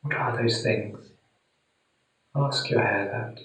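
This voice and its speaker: Slow-speaking male meditation guide in echoey room. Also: mid-range voice, fairly bright tone. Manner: soothing, deliberate, with long pauses. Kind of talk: guided meditation, spoken gently to the listener. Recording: very echoey room, distant mic.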